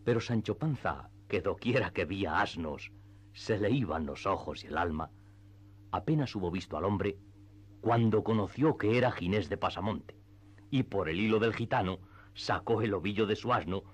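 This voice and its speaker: A man narrating a classic novel in a radio drama, with measured literary storytelling. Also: on the low side, animated, husky. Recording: slightly dull, band-limited audio. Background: faint background music.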